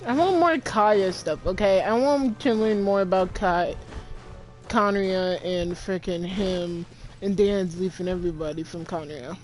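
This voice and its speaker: A woman's voice: medium pitch, unhurried, a little mellow. Casual teenage girl gamer, faint music behind. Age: teens. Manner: informal, blunt, slangy. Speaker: gamer playing through a story quest. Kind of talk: casual gameplay commentary. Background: faint game music.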